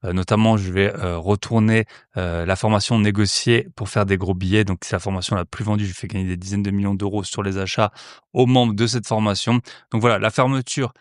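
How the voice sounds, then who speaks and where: A man with a lowish voice hosts a podcast, pausing often on filler sounds as he talks. The sound is close and dry, with no room around it. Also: fairly even intonation, no room sound. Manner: hesitant, many "euh" fillers. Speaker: podcast host. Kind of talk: podcast ad read and interview intro.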